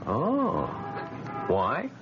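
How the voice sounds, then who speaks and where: Cocky young hoodlum, wildly swinging pitch. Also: male voice, huge pitch swings, very slow, music in the background, full character voice. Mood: suspicious. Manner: slangy, streetwise, defiant. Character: cocky, hot-tempered young male small-time hoodlum. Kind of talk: old-time radio drama acting. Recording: muffled radio recording, loud background noise.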